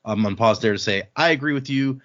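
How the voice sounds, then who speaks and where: Slightly low-voiced man, a bit muffled. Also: quick pace, a bit bright. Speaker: podcast host. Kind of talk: casual podcast chat and reading listener mail.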